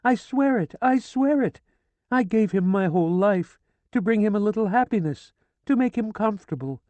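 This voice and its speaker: A frantic older woman shrieks and begs in a very high, piercing voice, put on by a male audiobook narrator. Her cries swoop wildly up and down, the sound dry and close. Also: expressive, moderate pace, dark tone, no echo. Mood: pleading. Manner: shrill, frantic pleading and screaming. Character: hysterical grieving older woman, wailing and shrieking. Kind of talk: audiobook character voice.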